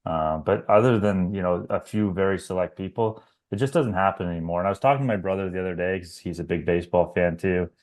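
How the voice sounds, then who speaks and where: A man's voice: low voice, fast, hardly any pauses, darkish tone. A man in his thirties from Canada, co-hosting a casual baseball podcast and talking loosely with many fillers. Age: 30s. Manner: conversational, rambling with fillers. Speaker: podcast co-host. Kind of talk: casual sports chat.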